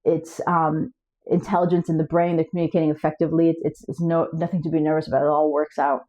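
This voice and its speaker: A woman's voice: low voice, level tone, fast, dark tone, dull audio. A woman hosting her own channel, talking casually to camera about raising kids with several languages, often restarting words and checking her notes. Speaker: language coach and channel host.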